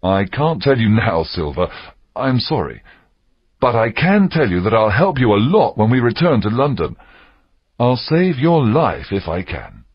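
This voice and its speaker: A man voicing a stern but kindly gentleman doctor in an audiobook, grave and measured. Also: medium pitch, big pitch swings, breathy edge, dry recording, dull audio, heavily acted. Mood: reassuring. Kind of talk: audiobook character acting.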